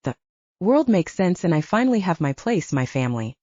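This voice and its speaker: A woman's voice: on the low side, big pitch swings, brisk, mellow, dry recording. A woman voicing a big, insecure teenage younger brother in an audiobook, earnest, blurting and awkward. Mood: earnest, stressed. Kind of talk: audiobook character dialogue. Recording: dull, slightly muffled audio.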